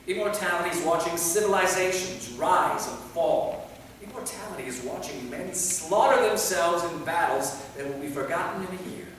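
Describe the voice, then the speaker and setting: Guy doing anguished vampire in a play, swingy high voice, big echoey hall, kinda far away. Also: fairly bright tone, slight background noise. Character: anguished, weary, bitter immortal male vampire. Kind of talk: staged reading of a stage play. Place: theater, recorded from the audience distance.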